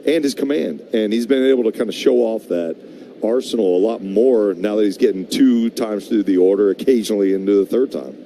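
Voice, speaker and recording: A man's voice: on the low side, brisk, warm tone, slight background noise. An American man working as a radio baseball analyst, commenting conversationally and reading sponsor spots. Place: stadium broadcast booth.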